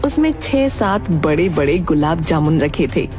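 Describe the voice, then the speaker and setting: A woman's voice: low voice, very animated, unhurried, over music. A woman reading scripted story narration as the narrator.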